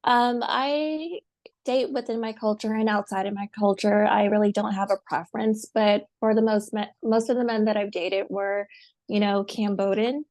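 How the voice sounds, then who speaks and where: A woman from the United States telling her personal story as a guest, speaking softly and haltingly with many pauses. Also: medium pitch, steady tone. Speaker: guest, survivor. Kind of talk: personal testimony.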